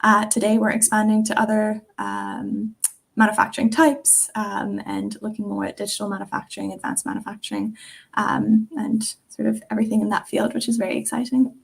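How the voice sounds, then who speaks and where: A young woman from Singapore, a guest on a video call, answering interview questions in a friendly, relaxed way with frequent ums. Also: medium pitch, fairly quick, vocal fry, husky. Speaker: guest, customer success manager.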